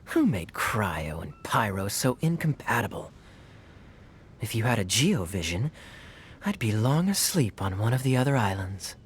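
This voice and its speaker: A man's voice: lowish voice, big pitch swings, measured pace, a little hiss or hum. A man voicing a suave, sly young knight captain in game cutscenes, with a wry, teasing way of talking. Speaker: game character. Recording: game audio with faint music.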